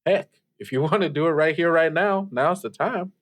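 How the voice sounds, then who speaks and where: A man's voice: a little high, moderate pace, a bit bright. A man playing an earnest, awkward young radio host in improvised audio drama, chatting casually with hesitant, stammering, self-effacing speech. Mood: encouraging.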